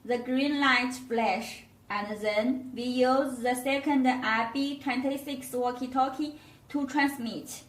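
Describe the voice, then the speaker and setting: A woman giving a step-by-step product tutorial as a demonstrator, with halting non-native phrasing. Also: high voice, steady tone. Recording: roomy echo, distant microphone.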